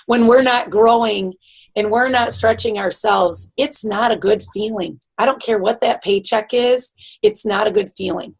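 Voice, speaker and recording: A woman hosting a video call, encouraging and emphatic, her voice raspy. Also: mid-range voice, moderate pace. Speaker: team leader hosting the call. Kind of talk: casual motivational chat. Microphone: computer mic on a video call.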